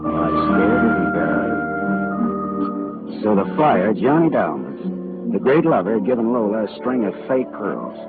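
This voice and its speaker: A hard-boiled American private detective in a 1940s radio drama. The voice is very low, clipped and wry, world-weary yet decent. The sound is thin and tinny, an old broadcast with music. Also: male voice, sing-song, unhurried. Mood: wry narration. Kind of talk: 1940s radio drama acting and first-person narration.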